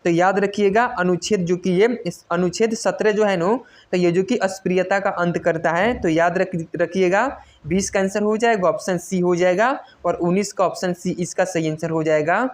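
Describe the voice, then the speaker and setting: A woman's voice: fairly low pitch, steady tone, moderate pace, few pauses. Woman coaching exam questions. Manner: instructional, repetitive emphasis on key answers. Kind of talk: quiz-style exam lesson, reading questions and giving answers.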